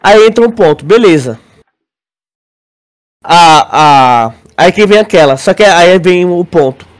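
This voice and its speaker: A man with a Brazilian accent in a casual podcast discussion, explaining in a halting way, repeating words and often checking that his listener agrees. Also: high-pitched, lively intonation, clean tone. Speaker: podcast co-host.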